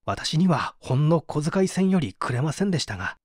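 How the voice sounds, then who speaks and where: An actor playing a poor, contrite painter making an earnest, theatrical confession in a dramatic audio mystery. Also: male voice, a little high, very animated, brisk, a little mellow, slightly rough, no echo, studio quality. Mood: subdued. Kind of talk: dramatic Japanese audio reading of a mystery story.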